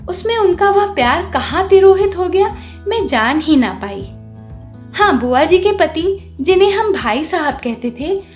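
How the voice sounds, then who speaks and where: A woman reads a literary story aloud in a high, lively voice that moves freely up and down. Her delivery is slow and expressive, like an audiobook narrator telling a tale.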